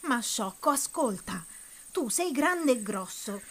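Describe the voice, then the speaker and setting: A woman's voice: a little high, very animated, full character voice. A woman voicing a pleading little male animal. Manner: pleading, quick when worried. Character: small male woodland animal, anxious and pleading. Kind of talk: children's audio story acting.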